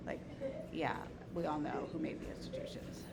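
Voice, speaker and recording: A woman's voice: low voice, fairly even intonation, moderate pace, gravelly. A woman leading an informal lecture and group discussion in an opinionated, emphatic way. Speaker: discussion leader, teacher. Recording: noisy room recording.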